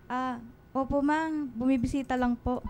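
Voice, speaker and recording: A woman acting as a Filipina teenage girl in a radio drama. Her voice is high and youthful, with a snappish, defensive edge of an eldest sister. Soft music sits behind it. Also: steady tone, normal speed, lightly acted. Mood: nervous, meek. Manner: bratty, defensive.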